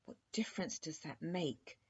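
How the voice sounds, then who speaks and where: A woman's voice: low-pitched, lively intonation, normal speed, a little mellow, breathy edge, exaggerated voice. A woman voicing a sensitive little princess in a storybook, with an emphatic, childishly dignified manner. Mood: indignant. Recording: dull lo-fi recording.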